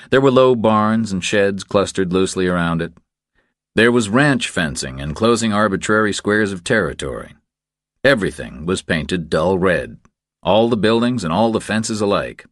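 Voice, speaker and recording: A man's voice: low-pitched, no room sound, studio quality. A man reading a novel aloud as a scripted third-person audiobook narration.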